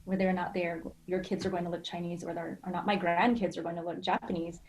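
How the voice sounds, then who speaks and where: A woman's voice: fairly low pitch, flat delivery, fast talker, darkish tone, slight background noise. A middle-aged American woman from rural Idaho, talking earnestly and reflectively in a personal, anecdotal way as a podcast guest. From rural Idaho, United States.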